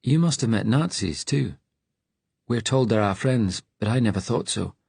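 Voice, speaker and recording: A man's voice: fairly low pitch, lively intonation, moderate pace, vocal fry, darkish tone, husky, no echo, voice pushed up, full character voice. A man narrating an audiobook, playing a nervous, timid, fragile fugitive with a hesitant, earnest, questioning manner. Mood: earnest, curious. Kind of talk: audiobook character dialogue.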